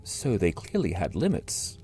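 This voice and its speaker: A man voicing a skeptical questioner with a gravelly, drawn-out delivery. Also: low-pitched, huge pitch swings, mellow, soft background music, full character voice.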